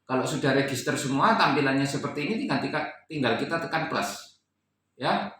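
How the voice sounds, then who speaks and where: A man's voice: medium pitch, animated, brisk, a bit bright. A man with an Indonesian accent explaining a product setup step by step in a casual tutorial, often checking in as he goes. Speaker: installer demonstrating a product setup. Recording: echoey room, distant mic, faint noise.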